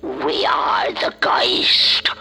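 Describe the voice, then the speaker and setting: A voice actor playing a cold, menacing alien ship commander who speaks in a slow hissing whisper. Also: over music, poor audio. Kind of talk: audio drama acting.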